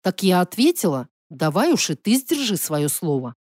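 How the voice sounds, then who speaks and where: Woman playing a defiant nervous young woman, emotional, snappy comebacks, really lively pitch, audiobook. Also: normal speed, no room sound, clean studio sound. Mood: insistent. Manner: sharp retorts, anxious, emotional. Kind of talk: audiobook character voice.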